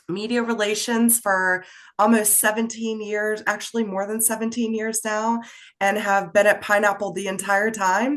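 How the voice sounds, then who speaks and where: A woman's voice: medium pitch, fairly bright tone. A woman speaking as a guest on a webinar, congested from a head cold, friendly and chatty. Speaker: PR agency guest.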